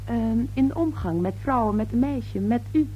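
An actress playing a pushy, sly young newspaper reporter who probes and insinuates in a radio play. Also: female voice, a little high, animated, normal speed, muffled recording, a little hiss or hum, natural voice. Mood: insinuating. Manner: insinuating, probing, brazen.

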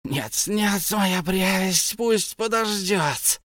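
High, hissing, greedy cave creature, slow and whiny, dry close sound. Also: male voice, animated, fairly bright tone, no room sound, full character voice.

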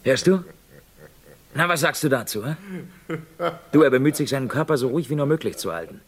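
A man plays a cocky newspaper reporter in a radio crime play. His mid-pitched voice is chummy and conspiratorial, that of a wisecracker always after money. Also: animated, fairly quick, close to an everyday voice. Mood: gleeful alarm. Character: brash, gleeful, money-hungry male newspaper reporter. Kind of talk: German radio crime drama acting.

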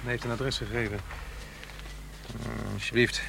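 An actor playing a troubled, reproachful divorced father in middle age, halting and earnest, in a Dutch radio play. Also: mid-range voice, little pitch movement, creaky, sharp tone, a little hiss or hum, exaggerated voice. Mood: awkwardly businesslike. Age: middle-aged. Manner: halting, earnest, self-questioning.

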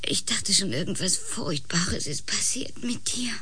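An actress playing a terrified, pleading wife in a radio play, halting and repeating herself breathlessly. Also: female voice, medium pitch, expressive, bright, forceful tone, husky, in a whisper, heavily acted. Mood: relieved, shaken. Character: terrified, pleading young wife, overwrought and confused. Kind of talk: German radio play acting.

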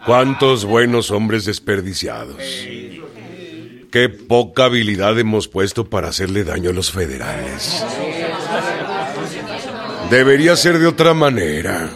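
Low-voiced, grave revolutionary commander. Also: male voice, very animated, a little slow, pauses often, a crowd in the background. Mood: brooding. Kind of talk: radio drama acting.

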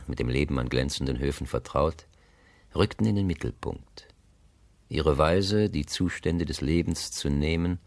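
Radio drama narrator, gravelly bass, measured, literary, dry sound. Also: male voice, dry recording, exaggerated voice.

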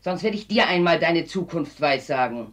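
An actress playing a sharp, businesslike fortune-teller and landlady in a radio drama, speaking in a firm, threatening way. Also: sits low, lively intonation, moderate pace. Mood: stern.

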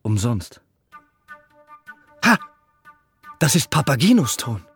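An actor playing an earnest, brave young prince in a radio drama, declaiming rhymed verse with fervour. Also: male voice, medium pitch, very animated, takes it slowly, husky, soft background music, exaggerated voice. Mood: grateful, longing. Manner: declamatory, fervent.

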